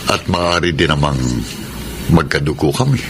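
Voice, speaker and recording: A man's voice: a bass voice, normal speed, a bit bright, a little hiss or hum. A man playing a wealthy, kindly widowed father in middle age in a Filipino radio drama. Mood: pensive. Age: middle-aged.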